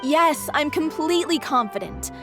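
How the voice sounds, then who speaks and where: A woman playing a devoted, gentle theater troupe performer in a video game, sincere and polite. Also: high-pitched, animated, moderate pace. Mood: confident, sincere. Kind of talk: animated video game voice acting. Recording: music behind the voice.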